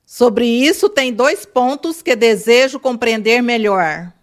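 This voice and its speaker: A woman interpreter reading a translated question aloud in a steady, measured way. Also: a little high.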